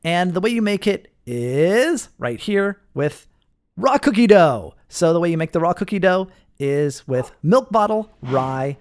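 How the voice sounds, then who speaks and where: Male game showcase host, higher-pitched, very lively intonation, casual and explanatory. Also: measured pace, no room sound, studio quality. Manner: explanatory, addressing viewers as guys. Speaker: host of a Minecraft mod showcase. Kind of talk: casual gameplay commentary.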